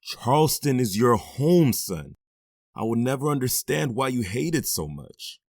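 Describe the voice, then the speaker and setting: A man's voice: mid-range voice, big pitch swings, a little slow, gravelly, a little mellow, dry recording, clean studio sound, full character voice. An actor playing a firm but loving middle-aged stepfather who sighs often and talks wearily. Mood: stern. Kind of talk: audio drama acting.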